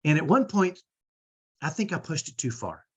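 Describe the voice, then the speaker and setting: A middle-aged man with a strong, powerful voice, coaching parents in an energetic, emphatic way and often checking in with "right?". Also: fairly high pitch, moderate pace, breathy edge. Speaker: host.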